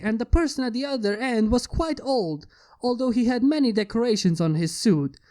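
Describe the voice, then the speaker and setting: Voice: mid-range voice, warm tone. A narrator reading a fan fiction story aloud in a scripted storytelling style.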